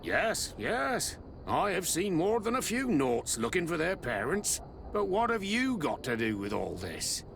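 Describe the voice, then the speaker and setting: An actor playing a gruff, wily old sea captain in a video game, with gravelly speech. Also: male voice, a little high, wildly swooping pitch, a little slow, a bit bright, a little hiss or hum, heavily acted. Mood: guarded. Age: older. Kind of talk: video game cutscene acting.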